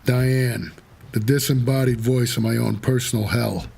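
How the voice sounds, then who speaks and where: An actor playing a wry, world-weary cab driver for the dead, hard-boiled and laconic in a noir audio drama. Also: male voice, medium pitch, steady tone, measured pace, creaky, darkish tone, a little hiss or hum. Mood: brooding, wry. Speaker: narrator and lead character.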